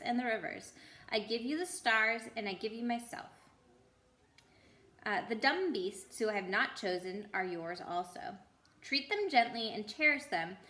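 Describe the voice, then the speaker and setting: A woman reads as a strong, kindly lion king from a storybook, solemn and measured in delivery. The voice is bright and carries a clear room echo. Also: fairly high pitch. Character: majestic, strong, happy male lion, gravely kind ruler.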